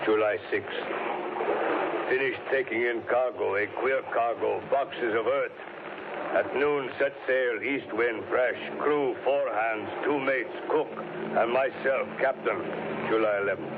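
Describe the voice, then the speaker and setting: A weary ship's captain, played by a male actor gives log entries slowly, in short solemn phrases. It sounds like an old radio drama, thin and tinny, buried in loud noise. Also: medium pitch, lightly acted. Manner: clipped, solemn, deliberate. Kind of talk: 1930s radio acting, log narration.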